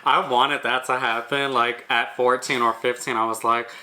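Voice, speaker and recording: A young man with a high-pitched voice, laid-back and reflective while co-hosting a casual chat. His sound is bright and sharp, with an ordinary pitch for a man. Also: flat delivery, normal speed. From Brooklyn, New York. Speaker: co-host.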